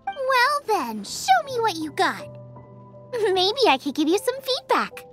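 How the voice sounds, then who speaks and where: A woman's voice: extremely high pitch, huge pitch swings, takes it slowly, a bit bright, full character voice. A woman voicing a spirited, confident young girl chef in a video game, speaking in an emphatic, bubbly way over music. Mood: bubbly, eager. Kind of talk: acted video game dialogue. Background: game background music.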